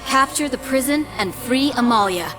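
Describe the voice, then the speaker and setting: Higher-voiced military officer. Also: female voice, expressive, moderate pace, over music. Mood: businesslike. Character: businesslike female military mission officer. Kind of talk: video game mission briefing.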